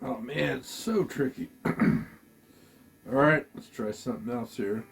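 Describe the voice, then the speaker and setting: A man giving a casual, halting gear demo, thinking aloud as a musician at work. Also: mid-range voice, creaky, loud music behind the voice. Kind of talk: casual product demo talk.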